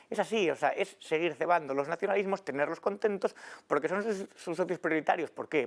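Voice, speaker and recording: A man's voice: a little high, gravelly. A man from Asturias, a panel guest, giving heated, indignant commentary in a studio debate. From Asturias, Spain.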